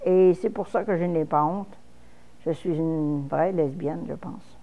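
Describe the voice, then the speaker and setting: A woman's voice: low voice, measured pace, dark tone, rough recording. A woman in her fifties from Quebec with a Québécois French accent, speaking frankly in a personal interview, with false starts. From Quebec, Canada.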